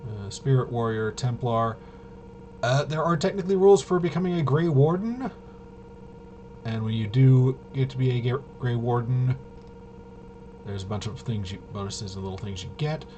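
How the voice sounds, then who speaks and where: A man giving a casual, off-the-cuff rules walkthrough as a tabletop game reviewer, in a halting, mumbling way. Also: mid-range voice, expressive, mellow, lo-fi sound. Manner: halting, mumbling through half-read rules. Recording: clearly noisy home recording.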